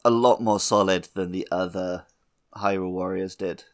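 A man's voice: low-pitched, steady tone, moderate pace. A man chatting casually and giving his opinions on a podcast. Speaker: podcast guest or panellist. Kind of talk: casual chat.

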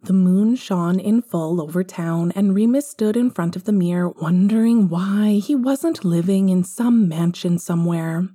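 A woman's voice: lowish voice, measured pace, hardly any pauses, warm tone. A woman reading a story aloud in a wry, conversational narrating style. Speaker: podfic reader. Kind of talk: expressive scripted fiction narration.

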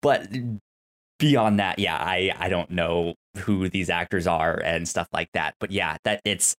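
A podcast co-host chatting casually about a TV show, haltingly, often restarting words and phrases. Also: low-pitched, fairly bright tone.

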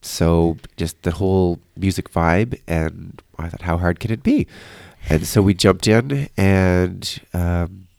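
Man, low creaky voice, informal storytelling, interview guest, dry sound. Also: slow pace, few pauses, darkish tone, no echo. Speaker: interview guest, concert organizer. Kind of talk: casual interview chat. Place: church, live broadcast.

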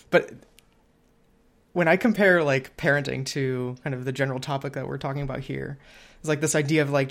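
A man's voice: fairly high pitch, creaky, a bit bright. A man, perhaps in his thirties or forties, talking casually on a podcast, thinking aloud in a rambling way and acting out imagined quotes. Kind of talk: casual conversational podcast chat.

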